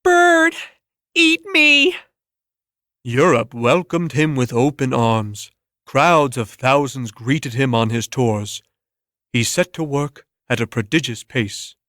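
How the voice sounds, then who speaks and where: Male narrator for a dramatic story reading, mid-range pitch, dry studio-like sound. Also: slow, no echo.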